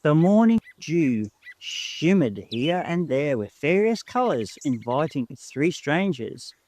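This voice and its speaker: A man reading a first-person story as an audiobook narrator, in an expressive storybook style. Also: a little high, slow pace, slight background noise.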